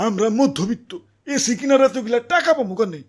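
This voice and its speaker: A performer voicing a plain-spoken, disapproving cartoon sidekick with a husky voice and a Bangladeshi accent. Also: mid-range voice, brisk, close to an everyday voice. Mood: resigned. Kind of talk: comic animated cartoon dialogue.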